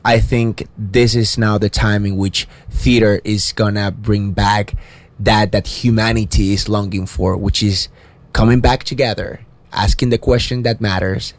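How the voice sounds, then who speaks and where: A man with a Venezuelan accent, a theater guest speaking earnestly in a casual interview and sometimes searching for words. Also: lowish voice.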